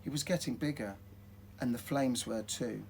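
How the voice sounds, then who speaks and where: A man reading a children's story aloud in an expressive, dramatic storytelling manner. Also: medium pitch, moderate pace, slight background noise.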